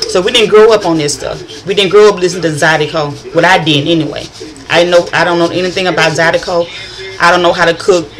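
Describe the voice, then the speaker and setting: A middle-aged woman from northern Louisiana with a country accent, rambling in a chatty vlog monologue and often restarting her sentences. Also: lowish voice. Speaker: vlogger.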